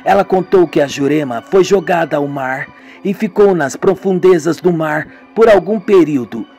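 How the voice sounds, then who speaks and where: A man reading the part of a young man who recounts a crime gravely and passes stern judgment on it. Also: on the high side, normal speed, darkish tone, soft background music. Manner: grave, judging.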